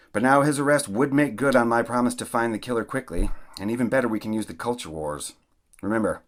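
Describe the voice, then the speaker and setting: An actor playing a corrupt, blustering mayor in an audio drama, with a bullying, pompous manner. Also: male voice, low-pitched, lightly acted. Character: corrupt, blustering, foul-mouthed male mayor, scheming and bullying.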